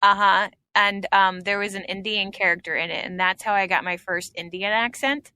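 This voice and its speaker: Bright, chatty woman comedian. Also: medium pitch, fairly even intonation, normal speed, clean tone. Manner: chatty, reminiscing with many fillers. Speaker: comedian guest. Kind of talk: casual podcast interview.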